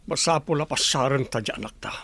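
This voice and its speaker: A man with an Ilocano accent playing a hot-headed, protective middle-aged father in a radio drama, forceful and insistent. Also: medium pitch, fast, breathy edge. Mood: indignant, determined.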